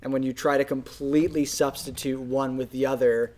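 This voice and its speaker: A man's voice: medium pitch, little pitch movement, fairly quick, darkish tone, a little hiss or hum. A young adult man in a casual podcast discussion, picked up on a shared microphone indoors. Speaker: podcast host. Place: indoor room, seated group. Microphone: shared desktop microphone.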